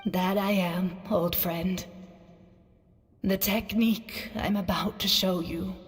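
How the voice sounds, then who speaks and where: A woman's voice: medium pitch, fairly even intonation, long reverb tail, faint music behind, close to an everyday voice. A woman playing a frail dying old weaver. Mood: gentle, solemn. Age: older. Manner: soft, whispery, slow and tender. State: weak, coughing. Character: frail elderly woman weaver near death, gentle. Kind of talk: video game dialogue.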